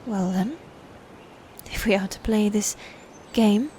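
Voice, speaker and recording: Woman acting as haughty female knight, cruel and ambitious, very mellow tone, unhurried pace, theatrical archaic phrasing, medieval audio drama. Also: mid-range voice, slight background noise, close to an everyday voice. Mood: scheming. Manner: theatrical, archaic formal phrasing, slow and deliberate. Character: proud, sadistic female knight, twin sister, playfully cruel and ambitious. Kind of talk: scripted medieval fantasy audio roleplay, ASMR.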